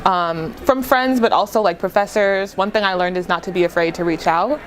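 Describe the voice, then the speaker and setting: A young woman with a medium-pitched voice gives a casual student interview answer. She drops "like" in often, and a little ambient noise sits behind her. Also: moderate pace. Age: young adult. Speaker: university student.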